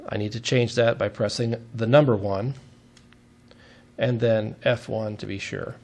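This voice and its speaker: A man's voice: on the low side, fairly even intonation, measured pace, darkish tone. A man giving a step-by-step technical demonstration in a methodical, plain-spoken way, as an instructor would.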